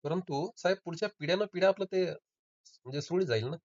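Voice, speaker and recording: Guy on a lofi phone call, talks really fast, pushy debating, repeats himself. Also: high-pitched, darkish tone. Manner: insistent, argumentative, repeating himself. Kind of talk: informal debate. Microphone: recorded phone call. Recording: dull lo-fi call recording.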